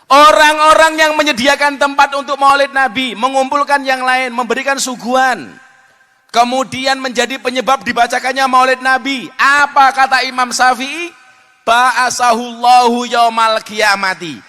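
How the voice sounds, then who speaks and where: A man's voice: very high voice, fairly even intonation, normal speed, bright, forceful tone, faint music behind. A man with a Javanese accent preaching in a joking, teasing way at a live religious gathering. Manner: joking, teasing, code-switching between Indonesian and Javanese. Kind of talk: humorous sermon with banter.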